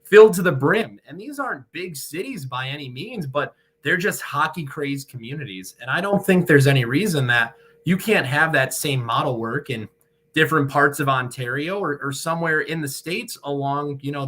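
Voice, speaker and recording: Bright-voiced young man, articulate. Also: fairly high pitch, animated, normal speed. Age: young adult. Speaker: guest, radio hockey play-by-play broadcaster. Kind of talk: conversational interview answers.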